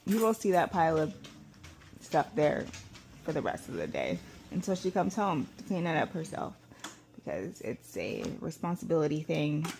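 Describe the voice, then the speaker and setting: A woman in her thirties with a hoarse voice, rambling casually through a day-in-the-life vlog at home, with many ums and restarts. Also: deep voice, noisy background. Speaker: vlogger. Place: at home, kitchen and house.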